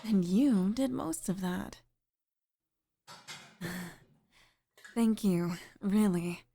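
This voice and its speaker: A woman voicing a sweet, middle-aged single mother in an intimate ASMR roleplay, speaking softly and flirtatiously with sighs and pauses. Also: medium pitch, creaky, a little hiss or hum. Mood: grateful, warm.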